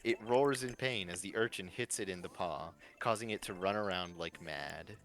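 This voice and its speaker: A man's voice: deep voice, normal speed, fairly bright tone. A man narrating a fan-written story live, reading from a script with small stumbles and repeats.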